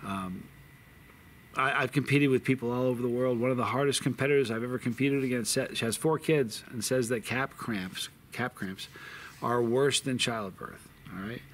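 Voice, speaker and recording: A man's voice: medium pitch, normal speed, slight background noise. A middle-aged man hosting his own hot sauce channel, talking casually to the camera from a sunny backyard, with many restarts and fillers. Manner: rambling, frequent restarts and fillers. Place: sunny backyard patio outdoors. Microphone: camera in front, seated close.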